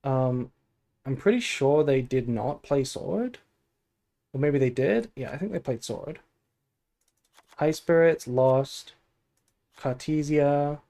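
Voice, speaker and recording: A sleepy man chatting casually on a game stream, musing aloud in a low-key way. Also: expressive, slow, vocal fry, long pauses, dark tone. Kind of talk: casual gameplay stream chat and deck analysis.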